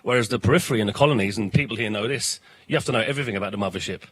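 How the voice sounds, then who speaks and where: A man in his fifties with a South London accent, a guest at a political festival talking fast and forcefully, often repeating words as he starts a sentence. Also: fairly low pitch, slightly rough, rough recording. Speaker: political education organiser, guest. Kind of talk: passionate interview talk, swearing.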